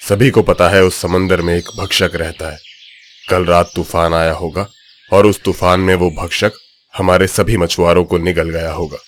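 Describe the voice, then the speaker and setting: A man voicing a solemn village headman in a cartoon story, warning gravely. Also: sits low, little pitch movement, moderate pace, slight background noise, lightly acted.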